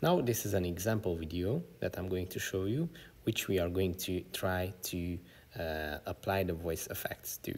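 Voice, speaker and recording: Low, slightly husky man presenting a tutorial. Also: moderate pace, a little mellow. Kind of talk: instructional screen-recording narration.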